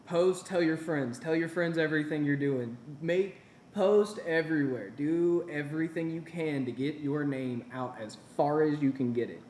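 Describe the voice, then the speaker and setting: A man's voice: fairly high pitch, normal speed, a little mellow, echoey room, slight background noise. A man in his twenties, a small-business owner, giving earnest, encouraging answers in a casual interview. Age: 20s. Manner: earnest and encouraging, with repeated phrases.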